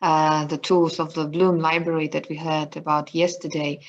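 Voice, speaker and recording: A woman's voice: low voice, level tone, normal speed, muffled recording, a little hiss or hum. A woman moderating an online conference Q&A over a video call, speaking hesitantly with many fillers.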